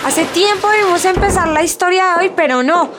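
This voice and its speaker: A woman's voice: extremely high pitch, brisk, heavy background noise. A woman playing a dramatic, whiny, chatty young friend in a radio soap. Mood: exasperated.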